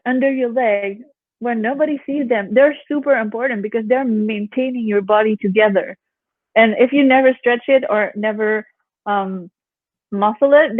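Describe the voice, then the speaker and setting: Young woman from canada, yoga instructor, relaxing voice, fairly high pitch, dry sound. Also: no echo. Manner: chatty, laughs often, acts out what others say. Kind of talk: casual interview chat. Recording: phone-like call audio.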